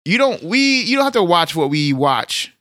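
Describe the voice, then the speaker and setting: Man, from Texas, podcast co-host, casual rambling chat, a bit deeper than usual, dry close mic. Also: sing-song, moderate pace, pushed and bright, dry recording. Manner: laid-back, rambling, joking. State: voice deeper than normal in the morning.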